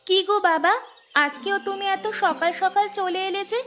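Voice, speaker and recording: A woman's voice: extremely high pitch, fairly even intonation, moderate pace, a bit bright. A woman voicing a caring, worried schoolgirl daughter in a village cartoon, plaintive. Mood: concerned. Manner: plaintive, concerned. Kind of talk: Bengali village cartoon dialogue.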